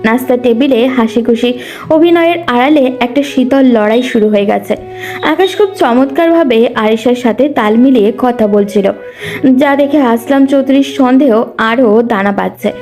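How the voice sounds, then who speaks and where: Female storyteller, high pitch, dark soft tone, scripted narration, light music bed. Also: moderate pace.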